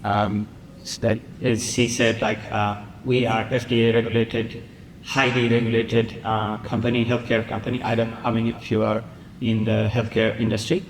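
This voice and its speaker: Halting man from Nepal in an echoey hall. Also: fairly low pitch, flat delivery, a little hiss or hum. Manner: halting, with many pauses and fillers. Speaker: panel guest. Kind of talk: conference panel answers. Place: large conference room.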